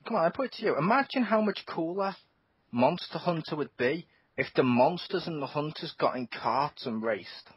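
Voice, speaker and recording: A man chats about games on a podcast, quick to laugh and to jump into high yelps. His voice is a little husky and swings widely in pitch. The recording is dry and lo-fi, as from a home setup. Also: no room sound. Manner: excitable, laughs often, bursts into high squeals. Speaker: podcast co-host. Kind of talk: casual gaming podcast chat. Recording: dull lo-fi home podcast mic.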